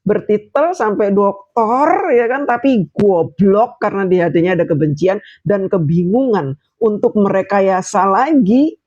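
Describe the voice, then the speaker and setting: A man's voice: high-pitched, unhurried, darkish tone, dry recording. Brief: mocking male commentator, dry close voice-over with a muffled tone. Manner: scornful, mocking. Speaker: political commentator.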